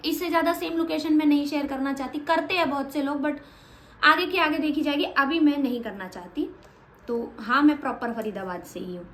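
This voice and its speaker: High-voiced frank woman vlogger. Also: a little fast, a bit bright, echoey room. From Haryana, India. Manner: frank, matter-of-fact, a little blunt. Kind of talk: casual Q&A vlog.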